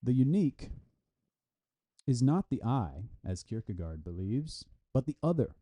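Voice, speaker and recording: A man reading a scholarly text aloud with deliberate, halting phrasing. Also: medium pitch, big pitch swings, warm tone. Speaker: reader of a philosophy article. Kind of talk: read-aloud scholarly text with brief explanatory asides.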